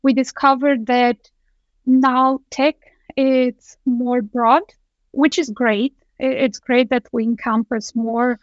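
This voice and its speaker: A woman's voice: high-pitched, flat delivery, slow pace, no echo. A woman from Romania, a guest speaking thoughtfully on a video call, often restarting her sentences. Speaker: guest engineering director. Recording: dull, dry lo-fi call audio.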